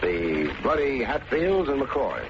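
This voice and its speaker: A man's voice: mid-range voice, very animated, slow, noisy background. An American man playing a wry, slangy, hard-boiled private investigator who narrates his case in an old radio detective drama. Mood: probing, cool. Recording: muffled old radio recording, loud music.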